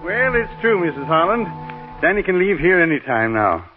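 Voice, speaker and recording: An actor playing a firm, principled doctor in a 1940s radio drama, formal and direct. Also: male voice, high voice, sing-song, normal speed, faint music behind. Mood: reassuring. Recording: muffled, tinny 1940s radio broadcast.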